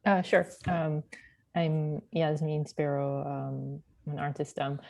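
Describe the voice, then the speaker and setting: A woman artist from Jamaica introducing herself in a relaxed, easygoing way. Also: low-pitched, slow pace, creaky, dry recording. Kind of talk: casual self-introduction.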